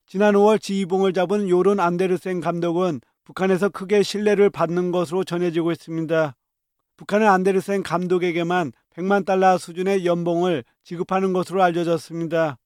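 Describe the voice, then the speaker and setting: Reporter guy reading the news, high smooth voice, dry booth sound. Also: little pitch movement, brisk, darkish tone, no echo. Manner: crisp, formal news delivery.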